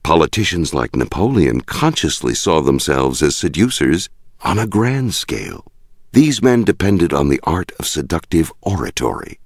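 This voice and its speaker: A man with a gravelly voice reading a nonfiction audiobook in a measured, deliberate way with dramatic emphasis. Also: low voice, dry recording, clean studio sound. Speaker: audiobook narrator. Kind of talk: scripted nonfiction audiobook narration.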